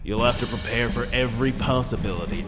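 A man playing the deadpan narrator of a comic sci-fi trailer, reading scripted lines. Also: medium pitch, fast talker, music in the background, lightly acted.

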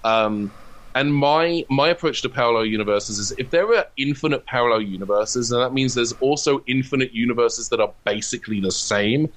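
A man's voice: mid-range voice, brisk, fairly bright tone, poor audio. A man in a casual interview, talking as a comedy writer in an enthusiastic, discursive, geeky way.

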